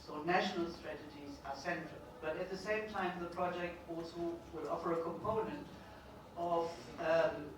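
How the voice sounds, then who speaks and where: A middle-aged woman speaking loudly at a policy conference, pausing often with fillers and restarts. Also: fairly low pitch, fairly even intonation. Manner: hesitant, many fillers and restarts; loud projecting voice. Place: large conference hall. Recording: echoey hall from far off, noisy.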